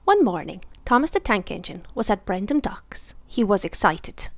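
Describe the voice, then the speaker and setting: A woman reading a children's bedtime story aloud in an expressive storytelling style. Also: mid-range voice, moderate pace, no echo.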